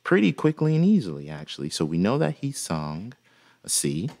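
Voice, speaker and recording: Deep-voiced man with a gravelly edge. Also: normal speed. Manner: relaxed and folksy, with frequent "uh" fillers. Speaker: music production instructor. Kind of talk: casual software tutorial.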